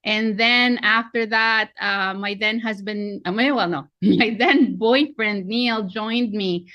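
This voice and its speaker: Bright upbeat filipino lady in her 40s, chatty coach on a video call, dry sound. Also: medium pitch, slow pace, no room sound, lo-fi sound. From Philippines. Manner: chatty, self-deprecating, upbeat. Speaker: real estate coach. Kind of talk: online training webinar.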